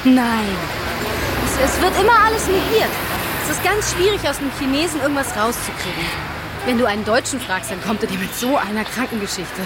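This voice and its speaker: A woman's voice: on the high side, lively intonation, brisk, close to an everyday voice. An actress playing a practical, candid German expatriate woman, chatting colloquially in a radio drama. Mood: exasperated, amused. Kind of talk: conversational documentary radio drama. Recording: clear street and traffic noise.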